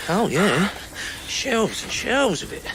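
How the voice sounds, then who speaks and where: An actor in a radio drama playing a sly scavenger, falsely friendly and menacing, talking in clipped sardonic phrases. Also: male voice, sits high, big pitch swings, slow pace, background noise, heavily acted. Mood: sardonic cheer.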